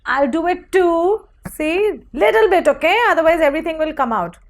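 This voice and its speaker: A woman teaching young children a phonics lesson in an animated, encouraging, sing-song way, with many repetitions and questions. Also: extremely high pitch, moderate pace.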